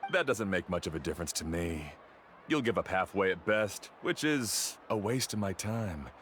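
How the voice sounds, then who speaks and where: An actor playing an arrogant, dismissive guide-for-hire in a video game, with a haughty, scornful manner. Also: male voice, sits low, lively intonation, normal speed, fairly bright tone, a little hiss or hum. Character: arrogant, dismissive, mercenary male island guide.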